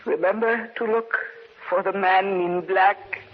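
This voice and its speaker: A man's voice: high-pitched, steady tone, measured pace. An actor playing an eerie, formal old man who serves an unseen sinister master in an old radio horror play. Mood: ominous. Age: older. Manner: ominous, portentous, formal. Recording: lo-fi, noisy old radio recording.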